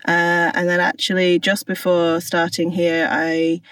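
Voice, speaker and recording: Low-voiced woman, chatty. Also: completely flat delivery, measured pace, a bit bright, no room sound, far from the mic. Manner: light, laughs easily, hesitant. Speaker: podcast co-host. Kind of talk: casual chat.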